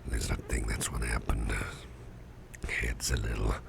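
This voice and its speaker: A man plays a gentle, drowsy businessman boss in an intimate ASMR roleplay. His voice is a rock-bottom, crackling rumble that often thins into a near whisper. He rambles slowly and haltingly, as if a little tipsy and close to sleep. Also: flat delivery, full character voice. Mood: tipsy, affectionate. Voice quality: breathy, half-whispered gravelly rumble.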